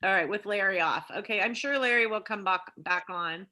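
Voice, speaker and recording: A woman's voice: mid-range voice, a little fast, sharp tone. A woman chairing a public meeting informally over a video call.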